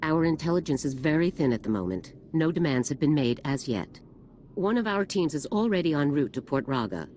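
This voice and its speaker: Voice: mid-range voice, very animated, a little mellow, a little hiss or hum, close to an everyday voice. An actor as a stern commanding officer. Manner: brisk, authoritative military briefing. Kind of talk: video game voice acting.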